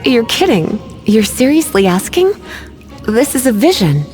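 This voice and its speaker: A woman's voice: a little high, big pitch swings, measured pace. An actress voicing a languid, teasing, learned woman mage in a video game, with a knowing, theatrical delivery. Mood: teasing, explanatory. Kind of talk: scripted video game voice acting. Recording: game music behind the voice.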